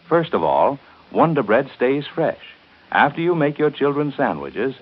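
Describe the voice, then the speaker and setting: A male commercial announcer from 1930s radio. He is persuasive and emphatic, with a medium-pitched, polished delivery. The recording is a bit muffled. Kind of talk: scripted 1930s radio advertisement.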